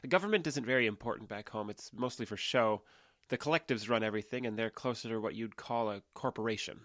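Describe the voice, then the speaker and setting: A man's voice: on the low side, fast talker, fairly bright tone, no room sound, clean studio sound, close to an everyday voice. An American man playing a guarded, sincere alien who passes for a young adult, explaining himself hesitantly in a naturalistic audio drama. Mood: earnest explaining.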